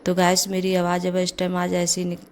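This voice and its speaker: A middle-aged mother with a hoarse voice from a cold, chatting through a casual home vlog as she cooks breakfast and goes on with her housework, often saying "jaise" between her words. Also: fairly low pitch, completely flat delivery, fairly quick, a little mellow, slight background noise. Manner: chatty, leans on the filler "jaise". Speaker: vlogger, mother. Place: home kitchen.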